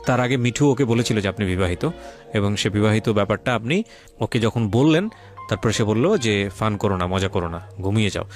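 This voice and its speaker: দ্রুত কথা বলা বাংলাদেশি উচ্চারণের পুরুষ উপস্থাপক. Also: male voice, lowish voice, lively intonation, fairly quick. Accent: Bangladeshi. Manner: mixes English words into speech. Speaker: radio show host interviewing a guest. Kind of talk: probing conversational interview. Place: radio studio. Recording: faint music behind. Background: faint music.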